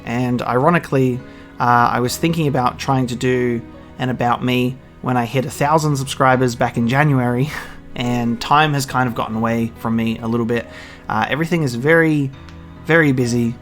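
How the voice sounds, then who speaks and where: A man in his twenties with an Australian accent chats casually to his channel's subscribers in an earnest, hesitant way. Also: medium pitch. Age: 20s. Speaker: YouTube gaming channel creator. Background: music with guitar.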